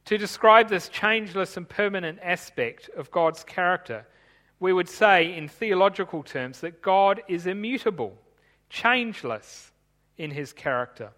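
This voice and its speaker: A man with a high, ringing voice delivers a sermon from notes, steady and explanatory. His words trail off into the long echo of a big church hall. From Tanzania. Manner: measured, explanatory, reading from notes. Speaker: preacher.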